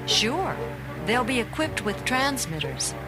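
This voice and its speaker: A woman playing a feisty, wary, blunt whale scientist with a soft heart in a children's read-along storybook recording. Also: fairly high pitch, sing-song, takes it slowly. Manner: blunt, plain-spoken. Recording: voice over loud background music.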